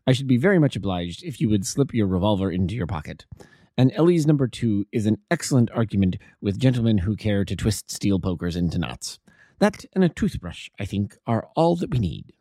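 A man plays a composed gentleman detective from a classic storybook. He is low-voiced and mellow, unflappable and quietly wry, speaking in a dry, close recording. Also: sing-song, a little fast, no echo. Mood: brisk, decided. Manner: bland, imperturbable, quietly wry. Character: calm, amused gentleman detective, male.

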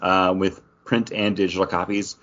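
A man's voice: low voice, steady tone, moderate pace, fairly bright tone. A man chatting casually about comics as a podcast co-host. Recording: dull lo-fi podcast audio.

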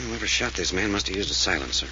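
A man's voice: low-pitched, lively intonation, quick pace, bright, forceful tone, slight background noise, full character voice. An actor playing Jack, a hard-nosed male detective who gives curt orders, in a 1940s radio drama. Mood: terse. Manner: curt and commanding. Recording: dull old radio transcription.

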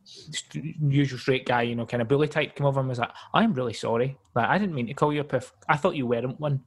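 A man's voice: medium pitch, fast. Easygoing man telling stories. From Glasgow, Scotland. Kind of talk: casual podcast chat.

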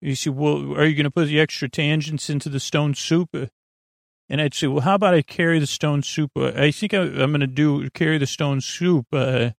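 A man hosting a bedtime podcast in a creaky, soothing voice, meandering haltingly through digressive monologue meant to lull listeners to sleep. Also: fairly high pitch, steady tone, dry recording, studio quality. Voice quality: creaky, gravelly. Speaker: podcast host.

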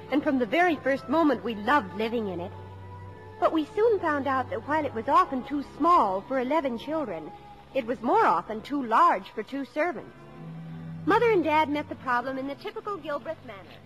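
A woman's voice: high-pitched, a bit bright, rough recording. An American actress in a mid-century radio drama, playing a daughter who narrates fond memories of her big family. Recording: old radio broadcast.

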